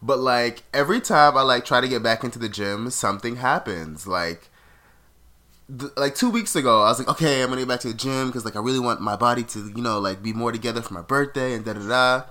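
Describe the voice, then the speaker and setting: A man's voice: mid-range voice, fast. A man co-hosting a casual podcast, sick and a little nasal, telling stories with laughs and sudden bursts of energy. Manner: animated, laughing storyteller. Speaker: podcast co-host.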